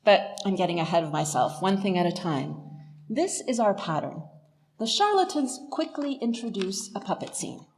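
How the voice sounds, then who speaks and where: Woman professor doing a lit lecture, medium pitch, measured scholarly delivery. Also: very animated, darkish tone. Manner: articulate, measured, academic. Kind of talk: illuminated lecture, literary analysis.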